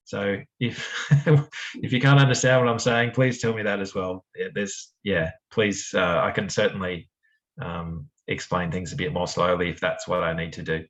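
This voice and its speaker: A man's voice: deep voice, flat delivery, moderate pace, poor audio. A man with a strong Australian accent giving a friendly academic seminar talk, with frequent fillers and restarts. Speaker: lecturer.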